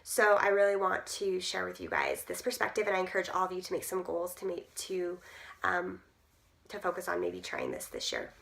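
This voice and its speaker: A woman in her thirties, hoarse from losing her voice, chatting casually to camera with many fillers. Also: fairly low pitch, wildly swooping pitch, normal speed, a bit bright. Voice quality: gruff. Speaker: direct sales team leader.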